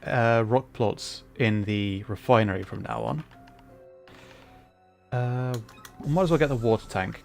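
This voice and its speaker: A man's voice: fairly low pitch, vocal fry. A man livestreaming a video game with casual commentary, thinking aloud in an easygoing way.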